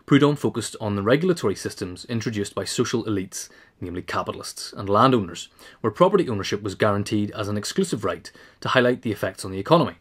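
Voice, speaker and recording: A man's voice: on the low side, lively intonation, quick pace, breathy edge. A man reading a scripted essay aloud as a narrator, in a formal manner. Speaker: audiobook reader.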